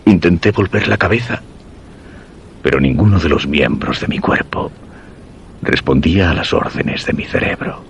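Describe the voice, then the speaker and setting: A man's voice: deep voice, normal speed, long pauses, a little hiss or hum. An actor from a suspense radio drama playing a terrified husband recounting his ordeal, in a Spanish accent from Spain, over music. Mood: horrified. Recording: music behind the voice.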